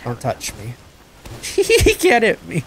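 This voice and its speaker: Excitable young man narrating his gameplay. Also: high-pitched, slow, slight background noise, rough recording. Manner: excitable, yelps and laughs at the action. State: a bit stuffed up from crying. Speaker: gaming channel host. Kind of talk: live gameplay commentary.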